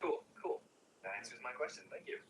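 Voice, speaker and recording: A man's voice: mid-range voice, fairly quick. A man chatting casually as an actor at a table read, asking questions in a polite, agreeable way. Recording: very noisy home recording with clicks.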